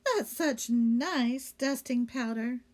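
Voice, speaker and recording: A woman's voice: on the high side, slow pace, darkish tone. A woman voicing a cheerful, eager, literal-minded housemaid in a children's storybook, earnest and pleased with herself. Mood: eager, innocent. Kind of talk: children's storybook character read aloud.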